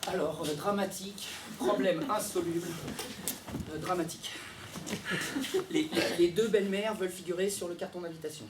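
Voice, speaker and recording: An actor playing an exasperated, conflict-averse bridegroom in a theatrical monologue, talking fast and stammering in a roomy space. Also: male voice, high-pitched, steady tone, background noise, lightly acted. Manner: stammering, hesitant, rapid-fire. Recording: roomy, echoey sound.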